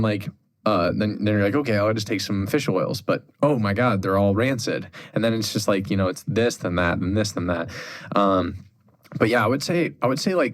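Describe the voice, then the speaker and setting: A young man talking casually as a podcast guest on holistic health, relaxed and full of fillers like "like" and "dude.". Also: lowish voice. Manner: relaxed, filler-heavy, says "dude" and "like" often. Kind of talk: casual chat.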